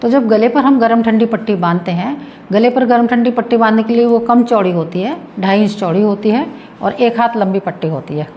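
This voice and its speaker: A woman's voice: on the high side, brisk, a little mellow, a little hiss or hum. Woman demonstrating a home remedy. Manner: explains with repetition while demonstrating. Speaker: health tips presenter. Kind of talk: demonstration lesson.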